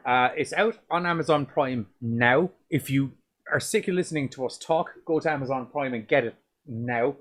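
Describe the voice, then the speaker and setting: Deep-voiced sweary podcast host. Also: male voice, moderate pace, a bit bright. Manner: sweary, rambling, restarts often. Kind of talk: casual movie review chat.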